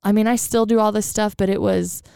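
A woman with a raspy voice, chatting casually as a podcast co-host and rambling with lots of fillers. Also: medium pitch, level tone, brisk, dark tone, no room sound, studio quality. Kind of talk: casual chat.

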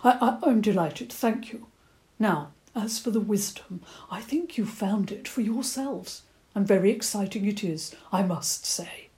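A woman's voice: mid-range voice, moderate pace, few pauses, dark tone, pitched above the performer's own voice, natural voice. A woman doing a wise, fussy old badger. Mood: gentle. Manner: stammering, courteous, slightly eccentric.

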